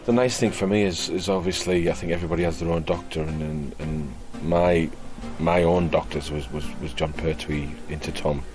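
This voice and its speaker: Brit actor guy, really low voice, casual interview chat, music clearly under him. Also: fairly even intonation, normal speed. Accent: British. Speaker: guest actor. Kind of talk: casual behind-the-scenes interview.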